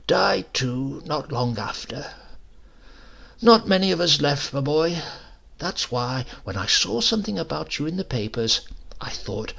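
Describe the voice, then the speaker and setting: Man acting a sly, gravelly ageing uncle. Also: fairly high pitch, very animated, unhurried, a bit bright, full character voice. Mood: casually sinister. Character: sly, seedy uncle in his late fifties, ex-poisoner.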